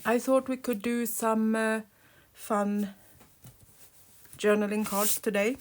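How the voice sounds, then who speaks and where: Woman, sore throat, near-lost voice, slow hesitant delivery, soft murmured tutorial voice-over. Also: fairly high pitch, steady tone, a little hiss or hum. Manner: soft-spoken, hesitant with many uh's, drops to murmurs while painting. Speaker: crafting channel host. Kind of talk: relaxed craft tutorial voice-over.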